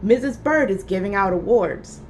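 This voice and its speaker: A woman's voice: medium pitch, lively intonation, moderate pace, darkish tone, slight background noise, lightly acted. Woman voicing an eager young schoolboy. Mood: excited. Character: excited, eager first-grade schoolboy.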